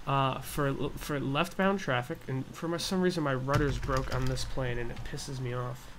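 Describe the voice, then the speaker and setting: Casual man explaining over clear background noise. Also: medium pitch, steady tone, normal speed. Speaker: gaming tutorial host. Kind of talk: casual flight simulator tutorial commentary.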